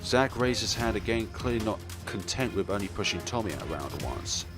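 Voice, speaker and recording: A man's voice: lowish voice, normal speed, music in the background. A man hosting a let's play, reading a visual novel aloud expressively with casual commentary of his own. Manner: expressive, acts out dialogue, chuckles and stumbles over words.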